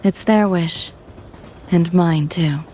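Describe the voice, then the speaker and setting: A woman's voice: on the low side, slow pace, warm tone, slight background noise. An actress voicing a laconic, resigned young woman in a video game, talking in clipped, casual phrases. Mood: wistful, resolute. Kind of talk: scripted video game dialogue.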